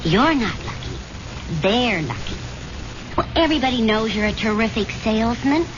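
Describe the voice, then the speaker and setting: A woman playing a caring, gently bossy housewife devoted to her husband, acted in 1970s radio drama style. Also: a little high, big pitch swings, normal speed, background noise. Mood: teasing, insistent. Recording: muffled old radio broadcast, music behind.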